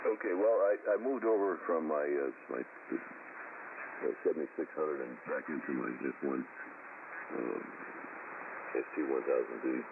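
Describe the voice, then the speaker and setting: An older man with a deep, bassy voice chatting casually on a ham radio net, rambling with many pauses and fillers. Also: vocal fry. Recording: tinny ham radio transmission with static.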